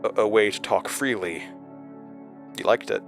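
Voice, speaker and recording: Casting: male actor for hesitant, haunted investigator, horror audio drama. Lower register, earnest and understated, faint music bed. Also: creaky. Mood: wistful, halting. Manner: halting, stammering in dialogue, low-key and earnest. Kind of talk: intimate audio drama acting and narration.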